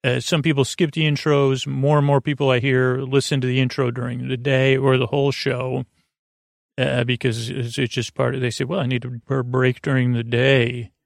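Creaky-voiced man, rambling bedtime podcast host. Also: mid-range voice, level tone, no room sound, clean studio sound. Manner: meandering, halting, self-interrupting asides.

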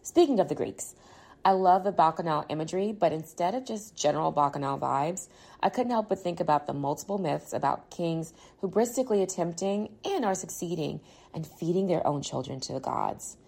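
A woman's voice: lowish voice, animated, moderate pace, vocal fry, darkish tone, breathy edge. A woman doing a dramatic reading of a listener's email as a guest reader.

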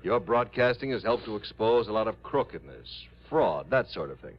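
A man's voice: on the low side, big pitch swings. An actor playing a genial, bossy American insurance man, speaking in an emphatic, informal way in vintage radio drama style. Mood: warmly earnest. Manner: hearty, emphatic, halting when sentimental. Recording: dull old radio broadcast.

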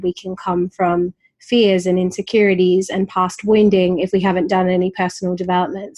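A woman's voice: fairly low pitch, a little mellow. A woman in her thirties with an Australian accent worn down by life in London, a confidence coach chatting openly as a podcast guest while getting over a slight cold. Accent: Australian, softened by years in London. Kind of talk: casual interview chat.

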